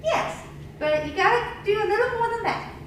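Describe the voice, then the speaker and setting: A friendly baker puppet lady, acted out by a woman, speaks in a very high voice with lots of lilt. She guides patiently and folksily, step by step, for a young audience. The recording is distant and heavily echoing, with noticeable noise. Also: animated, moderate pace. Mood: instructing. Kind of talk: children's educational puppet show. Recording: echoey room, distant mic, noisy.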